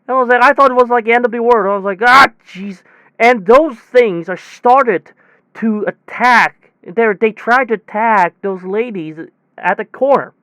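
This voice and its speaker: A man playing a weary, exasperated technician who tells his bizarre tale in a rambling, halting, conversational audio drama monologue. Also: very high voice, clear, resonant tone, dry recording, heavily acted, poor audio. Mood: agitated, incredulous. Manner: rambling, halting, animated storytelling with sighs. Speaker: voice actor in a self-written audio drama.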